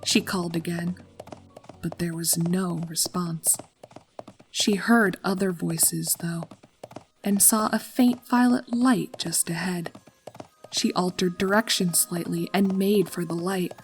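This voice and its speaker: A woman reading a horror story aloud in a calm, steady narrating voice. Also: on the low side, slow pace, slight background noise.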